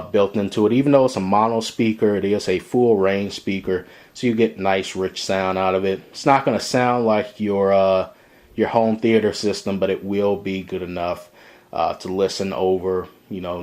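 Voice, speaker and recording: A man's voice: low-pitched, steady tone, normal speed, darkish tone. A man giving a casual, step-by-step product demonstration in an explanatory way, recorded in a room indoors. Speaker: product reviewer.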